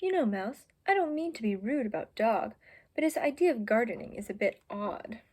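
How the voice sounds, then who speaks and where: A young woman voicing a meddling, smooth-talking male fox stranger in a storybook, with an insinuating, faux-polite manner. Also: on the high side, sing-song, moderate pace, darkish tone.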